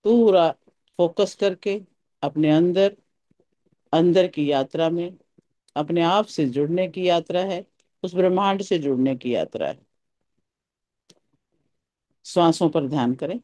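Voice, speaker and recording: Slow, mellow meditation teacher with soft-edged tone. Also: mid-range voice, dry recording, lo-fi sound. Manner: calm, soothing instructions in short pausing phrases. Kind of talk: guided meditation instruction.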